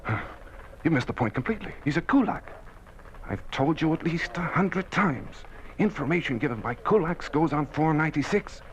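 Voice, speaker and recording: A man's voice: a little high, lively intonation, normal speed, lightly acted. An irritable, pompous male supervisor played by an actor in old-time radio style, over faint noise. His voice swings widely as he scolds and pulls rank. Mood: exasperated. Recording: noisy old radio recording.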